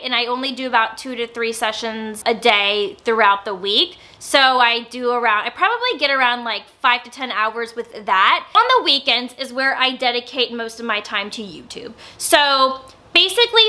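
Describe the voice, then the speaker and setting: A young woman in a casual sit-down vlog, talking loudly and openly, with some stammering and lots of filler words. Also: a little high. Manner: naturally loud, with stammers and many filler words. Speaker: YouTuber. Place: indoors, sit-down filming setup.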